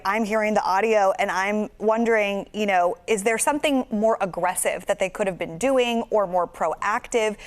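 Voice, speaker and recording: A woman news anchor conducting a live interview in a conversational, inquisitive way. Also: medium pitch.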